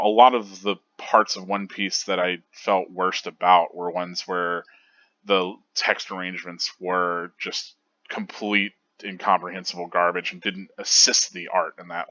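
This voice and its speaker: A man's voice: sits low, pushed and bright, lo-fi sound. A man hosting a manga reread show, giving casual analytical commentary in an enthusiastic, rambling way with lots of fillers and restarts.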